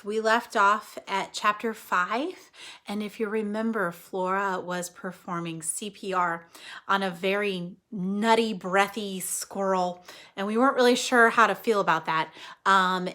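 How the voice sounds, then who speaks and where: A woman reading a story aloud to children like a teacher, expressively, pausing to explain things simply and check in with her listeners. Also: mid-range voice, bright, forceful tone. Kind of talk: animated read-aloud storytelling with explanatory asides.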